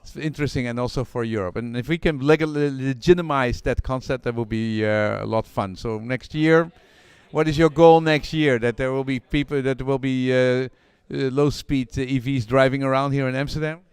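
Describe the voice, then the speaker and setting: A man's voice: mid-range voice, steady tone, normal speed, smooth, no room sound. A man interviewing a guest, asking casual questions and summing up the answers in his own words. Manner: summarizing, leading questions. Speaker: interviewer and channel host.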